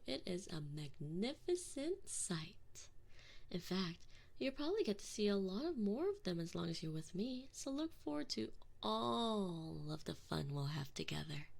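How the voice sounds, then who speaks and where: A woman's voice: fairly low pitch, gravelly, slightly rough, full character voice. A woman playing a sadistic, possessive female alien enforcer in an ASMR roleplay, close on the microphone, taunting with theatrical, sweet menace. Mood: cheerfully cruel. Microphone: close binaural microphone.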